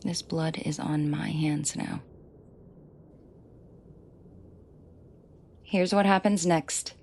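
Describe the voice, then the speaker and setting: Woman doing a protective mafia boss lady, super breathy n gravelly, bossy but dry teasing, whispery roleplay audio. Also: sits low, heavily acted. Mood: cold, commanding. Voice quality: soft, breathy, often whispering. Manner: commanding, dryly teasing, deliberate. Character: protective female mafia boss, menacing to henchmen, tender to victim. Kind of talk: intimate roleplay audio drama.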